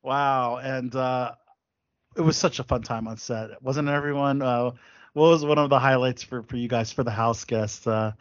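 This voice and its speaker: A man's voice: medium pitch, steady tone, normal speed, dry recording, dull audio. A man hosting a casual podcast panel, upbeat and laughing often, with plenty of fillers. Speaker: podcast host.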